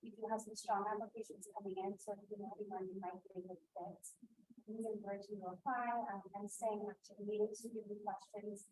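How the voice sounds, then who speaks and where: A soft-spoken woman, a college director, making a job announcement at a member meeting, with frequent fillers and apologies. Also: mid-range voice, little pitch movement, quick pace, poor audio. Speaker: college director of student initiatives. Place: meeting room.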